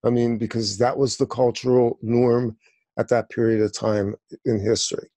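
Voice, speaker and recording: A man's voice: mid-range voice, level tone, normal speed, warm tone, dry recording. A man in his sixties talking in a rambling, digressive way, full of fillers, on a discussion show. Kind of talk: conversational discussion.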